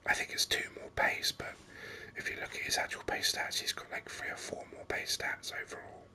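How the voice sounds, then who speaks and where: Whispered ASMR gaming host: very bright, sibilant breathy whisper, slow and rambling but keen. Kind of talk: whispered ASMR game commentary.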